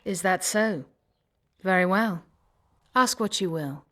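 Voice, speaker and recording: A woman's voice: lowish voice, slow, a bit bright. A woman playing a haughty, self-assured sorceress in a video game, with an imperious, clipped delivery. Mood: cool, aloof.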